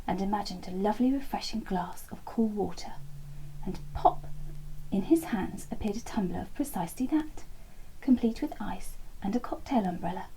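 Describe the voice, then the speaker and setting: A woman reading a bedtime story aloud in a soft, half-whispered, lilting way. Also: mid-range voice, low-quality recording.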